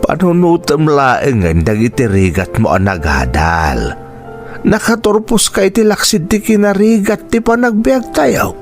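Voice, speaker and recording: A poor Ilocano family father in a radio drama, weary yet loving. His delivery is earnest and swings widely in pitch, with music playing underneath. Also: big pitch swings, measured pace. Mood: earnest, encouraging. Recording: music bed behind the voice.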